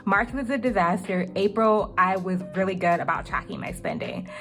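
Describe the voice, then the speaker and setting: A young woman talks casually through her monthly budget, chatty and full of ums. Her mid-pitched voice is slightly cracked today. Faint music plays softly under her voice. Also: huge pitch swings, normal speed. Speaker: budgeting vlogger.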